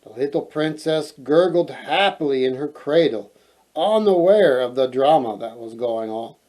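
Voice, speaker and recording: A man reading a storybook aloud as host, expressive and playful, often wandering into casual chatty asides. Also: mid-range voice, unhurried, poor audio.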